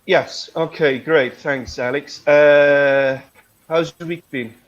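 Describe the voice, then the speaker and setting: A man's voice: fairly high pitch, steady tone, slow, lo-fi sound. A middle-aged man hosting a conversational online English lesson, phrasing things hesitantly with tag questions. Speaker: English teacher, webinar host.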